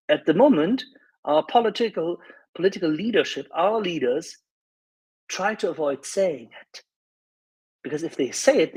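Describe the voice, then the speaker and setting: Husky man arguing emphatically. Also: a little high, rough recording. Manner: insistent, repeats words for emphasis. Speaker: panel speaker on live audio space. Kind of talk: emphatic political argument.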